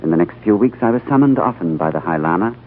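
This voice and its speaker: An actor playing an earnest, thoughtful diplomat who also narrates, in a 1930s radio drama on an old broadcast recording. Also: male voice, sits low, expressive, fast talker. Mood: narrating. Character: thoughtful male British diplomat narrating his tale. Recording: old phone-like radio broadcast, faint music.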